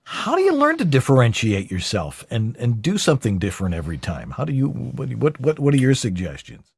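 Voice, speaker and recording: A man's voice: fairly quick, gravelly, slightly rough, dry recording, studio quality. A male host asking a guest questions in a casual, upbeat way and joking with his co-host. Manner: upbeat and joking, with lively pitch swings. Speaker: podcast host. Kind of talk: casual interview hosting.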